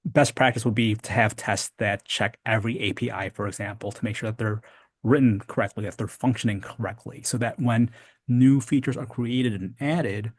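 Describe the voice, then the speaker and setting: A man with a fairly low voice works as a technical instructor. He explains things informally and checks that the listener follows. The sound is very dry, with no room around it. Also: normal speed, no room sound. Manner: explanatory, checks understanding with "right?". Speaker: programming instructor.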